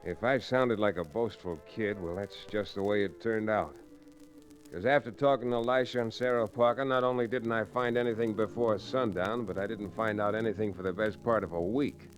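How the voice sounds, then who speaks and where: A man acts a determined American Western lawyer. The voice is fairly low and plain-spoken, in old-time radio drama style. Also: lightly acted, poor audio.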